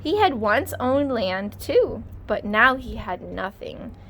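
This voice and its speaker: A woman teacher reading a storybook aloud to children, pausing to comment and ask questions. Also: on the high side, very animated, fairly bright tone, a little hiss or hum.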